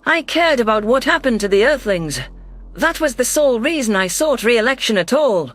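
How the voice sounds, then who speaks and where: A man's voice: very high-pitched, expressive, moderate pace, fairly bright tone, no room sound. A man reading a science fiction story aloud as a dramatic first-person narration. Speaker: audiobook narrator. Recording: music bed under voice.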